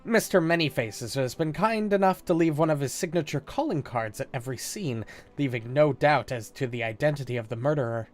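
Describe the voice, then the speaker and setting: A knowing, talkative newsman from a video game, read aloud by a man with a slight cold. The delivery stays dry and plain, a touch high-pitched and a little stuffy. Faint game music sits underneath. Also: a little fast, lightly acted. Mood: wry. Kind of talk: reading game dialogue aloud.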